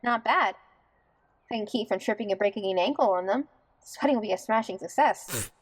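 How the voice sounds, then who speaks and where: A woman playing a down-to-earth, warm-hearted young bride in an audio drama, natural and wry in delivery. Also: medium pitch, brisk, close to an everyday voice, rough recording.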